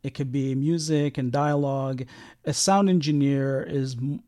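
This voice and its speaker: A man who grew up in Israel, a sound engineer guest talking in a casual interview, pausing often with fillers and restarts. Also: medium pitch, steady tone, dark tone. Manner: halting, many fillers and restarts.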